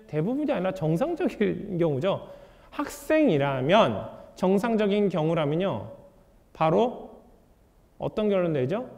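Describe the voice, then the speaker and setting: Animated man lecturing in an echoing hall. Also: high-pitched. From South Korea. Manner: lively, asks the audience rhetorical questions. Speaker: author giving a lecture. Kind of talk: public lecture to an audience.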